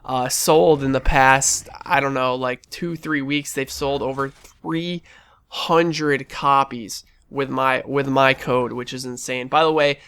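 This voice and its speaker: A young man commentating over his gameplay in a casual, rambling, enthusiastic way, sounding stuffy and a little hoarse. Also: medium pitch, fairly even intonation, slow pace, a bit bright. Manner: rambling, enthusiastic, lots of fillers and restarts. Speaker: gaming channel host.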